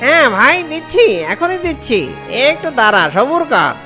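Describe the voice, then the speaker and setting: Woman playing a kindly naive cartoon fox youngster, high pitch, lively intonation, earnest, music behind. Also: moderate pace. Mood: eager, obliging. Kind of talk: children's cartoon voice acting.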